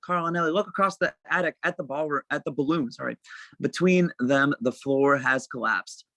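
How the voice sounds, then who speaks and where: Low-pitched, close and dry screenplay narrator. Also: moderate pace, pushed and bright, no room sound. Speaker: stage-direction reader in a table read. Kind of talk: scripted narration, reading screenplay stage directions.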